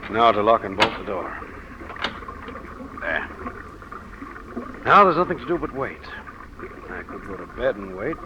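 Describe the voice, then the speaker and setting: A man's voice: fairly low pitch, big pitch swings, measured pace, long pauses, muffled recording. An actor playing a nervous but brave man facing death in an old-time radio drama, earnest, theatrical and apprehensive. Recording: noisy old radio recording.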